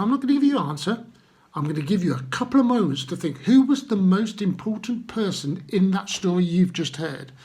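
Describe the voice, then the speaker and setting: A man telling stories to children and addressing them gently, with simple, unhurried phrasing and guiding questions. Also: sits high, breathy edge. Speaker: children's Bible storyteller.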